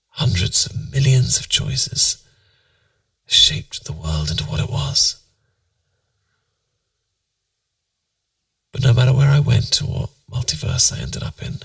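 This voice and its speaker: Man as tender British boyfriend, bedtime ASMR. Gentle, musing, playful delivery with a gravelly edge, very dry sound. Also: mid-range voice, dry recording, far from the mic, heavily acted. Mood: dreamy, musing. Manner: soft, intimate, soothing, musing, occasionally near whisper. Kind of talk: ASMR boyfriend roleplay, sleep aid.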